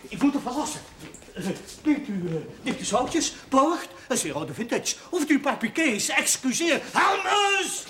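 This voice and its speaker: Excitable aristocrat, wildly swooping high voice. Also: male voice, measured pace, full character voice. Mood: agitated, outraged. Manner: exclamatory, lapsing into French oaths. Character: excitable aristocratic baron, outraged over his sister's honour. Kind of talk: television drama acting. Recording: noisy old TV soundtrack, echoey, crowd behind.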